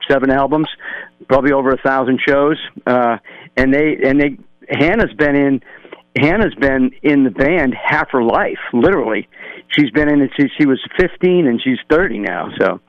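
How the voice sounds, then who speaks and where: A man's voice: medium pitch, normal speed, no echo. Rambling man on a dull phone line. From New Hampshire, USA. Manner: rambling, relaxed storytelling with many fillers. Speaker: musician guest, drummer. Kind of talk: phone-in radio interview. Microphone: telephone.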